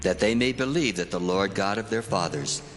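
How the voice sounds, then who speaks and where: An actor playing God in a dramatized scripture reading, speaking in a solemn, measured way. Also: male voice, on the low side, natural voice. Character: God, deep commanding divine male voice. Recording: long echoing reverb with music.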